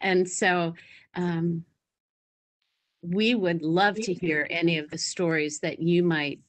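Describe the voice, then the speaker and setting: Woman, fairly low pitch, unhurried pace, encouraging moderator, informal remarks to children over a video call. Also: steady tone. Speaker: event moderator addressing schoolchildren. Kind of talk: informal closing remarks to a young audience.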